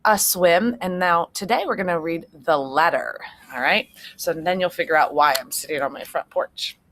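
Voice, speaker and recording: A woman reading a story aloud to children like a teacher, pausing to explain things simply and check in with her listeners. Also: fairly low pitch, fairly bright tone, slight background noise. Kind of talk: read-aloud storytelling with asides to young listeners. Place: outdoors on a front porch. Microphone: computer propped on a chair.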